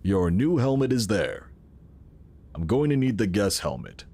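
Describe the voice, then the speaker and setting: A benevolent founder in a futuristic audio drama. He is formal and reassuring, with a very animated, varied delivery. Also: fairly low pitch, measured pace, husky, heavily acted. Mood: benevolent assurance.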